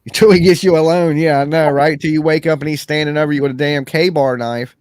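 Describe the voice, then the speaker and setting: A man's voice: a little high, steady tone, fairly quick, a little mellow, clean tone, dry recording, studio quality. A man hosting a casual livestream chat, blunt and salty, laid-back. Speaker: livestream host.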